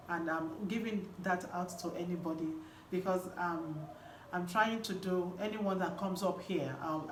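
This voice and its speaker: A woman with a Nigerian accent giving a live online coaching talk as host, speaking emphatically and repeating key phrases. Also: fairly low pitch. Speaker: host and women's health coach. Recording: roomy echo with faint background noise.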